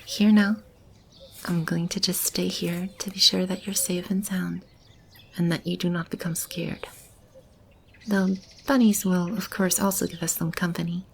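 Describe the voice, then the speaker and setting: Woman acting as caring demon woman, ASMR roleplay, soft and half-whispered, soothing, slightly low pitch, gravelly creak, faint nature ambience. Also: fairly even intonation, measured pace, slight background noise. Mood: soothing, hushed. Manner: soothing, tender, intimate and reassuring. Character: gentle, caring female demon sheltering animals and a lost child. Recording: clearly audible ambient animal and bird sounds. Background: animal and bird ambience.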